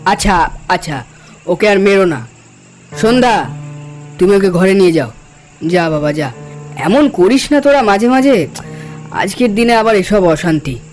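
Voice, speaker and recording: Man acting high-pitched, gentle, weary uncle. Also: expressive, measured pace, pauses often, soft background music, rough recording. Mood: placating. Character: kindly, weary uncle, head of household. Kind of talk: cartoon drama dialogue.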